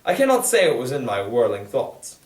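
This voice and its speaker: A young man reads literary prose aloud in an echoey room, a little away from the microphone. His delivery is earnest and formal, with a lively, expressive range of pitch. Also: brisk, far from the mic. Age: young adult. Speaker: student reader. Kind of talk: dramatic literary reading, scripted narration.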